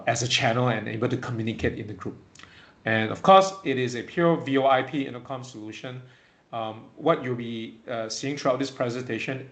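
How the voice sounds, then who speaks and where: A man presenting a product webinar in an informal, halting way, often checking in with small tag words. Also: medium pitch. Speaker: webinar presenter for a tech company.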